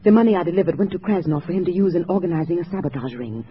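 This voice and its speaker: Actress playing a tense, animated female conspirator. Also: fairly low pitch, rapid-fire, close to an everyday voice. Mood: confiding, firm. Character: female communist courier, tense, secretive, urgent conspirator. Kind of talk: 1950s American radio drama acting. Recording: dull old radio recording.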